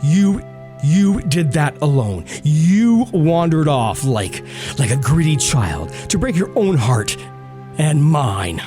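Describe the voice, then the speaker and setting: A man's voice: fairly high pitch, big pitch swings, takes it slowly, dark tone. A man playing a bitter, passionate, grieving lover in a melodramatic audio drama, tormented and intense. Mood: bitter reproach. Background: dramatic music.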